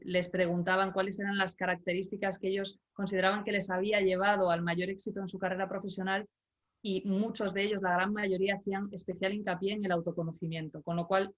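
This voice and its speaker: A middle-aged woman from Galicia, a leadership coach, answering interview questions over a video call in a measured, explanatory way with small restarts. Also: medium pitch, lo-fi sound. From Galicia, Spain.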